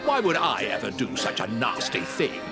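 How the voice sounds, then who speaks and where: An actor playing a pompous, theatrical Victorian gentleman in a video game, drawing out his words. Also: medium pitch, huge pitch swings, moderate pace, gravelly, loud music behind the voice. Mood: mock indignation. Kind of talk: video game cutscene acting.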